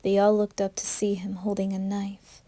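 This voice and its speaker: A woman's voice: medium pitch, monotone, moderate pace, mellow. A woman reading scripted horror narration as a storyteller.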